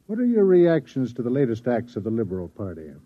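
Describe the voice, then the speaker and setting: An actor playing a stern, suspicious government interrogator, formal and insinuating, in classic radio drama style. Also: male voice, medium pitch, wildly swooping pitch, fast. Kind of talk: old-time radio drama acting. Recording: thin phone-like old radio recording.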